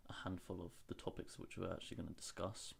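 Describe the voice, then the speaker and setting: A man's voice: low voice, little pitch movement, quick pace, vocal fry, breathy edge. A young man raised in Singapore and Hong Kong, co-hosting a casual podcast. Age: young adult. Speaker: podcast co-host.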